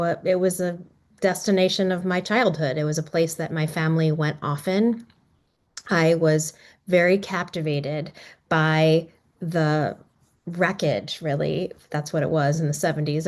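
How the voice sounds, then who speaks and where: A middle-aged filmmaker woman talks through her story, reflective and halting, with lots of pauses and restarts. Her voice sits a little low for a woman. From Maryland, US. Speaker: documentary filmmaker. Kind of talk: casual talk about her film.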